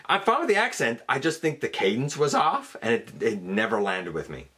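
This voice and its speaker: Animated man hosting a fan countdown. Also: mid-range voice, fairly quick, slightly rough. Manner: rambling, thinks aloud and corrects himself. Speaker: host of a fan countdown channel. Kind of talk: casual, chatty countdown commentary.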